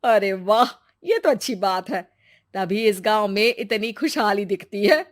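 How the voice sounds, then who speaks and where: Woman playing a curious, lively village woman. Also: mid-range voice, a little slow, close to an everyday voice. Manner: inquisitive, colloquial. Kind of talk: cartoon moral-story acting.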